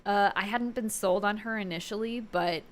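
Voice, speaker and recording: Woman, medium pitch, informal video commentary, chatty, enthusiastic, emphatic phrasing. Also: normal speed. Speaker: video creator and host. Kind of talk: casual opinion commentary on pro wrestling.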